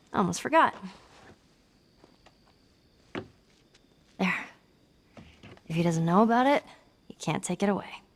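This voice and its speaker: A woman's voice: mid-range voice, slight background noise. A woman playing a plucky, tender teenage girl in a video game cutscene, talking in a natural, wry, conversational way. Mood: light, casual.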